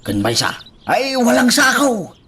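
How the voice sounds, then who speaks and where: Man acting a slow-drawling old farmer, wildly swooping. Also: very high voice, huge pitch swings, far from the mic, a crowd in the background, full character voice. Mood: sly, coaxing. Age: older. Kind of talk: radio drama acting.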